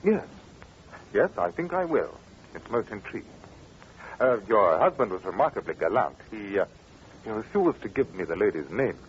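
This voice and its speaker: A man playing a composed, shrewd British gentleman detective in an old-time radio drama, speaking with precise courtesy and dry irony. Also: on the low side, big pitch swings, moderate pace, long pauses. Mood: courteous, intrigued. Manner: polite, precise, dryly ironic. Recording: faintly noisy, dull old radio recording.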